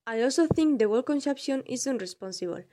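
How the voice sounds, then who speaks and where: Young woman, mellow voice. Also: sits high, lively intonation, quick pace, no echo, clean studio sound. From Spain. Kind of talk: reading prepared answers.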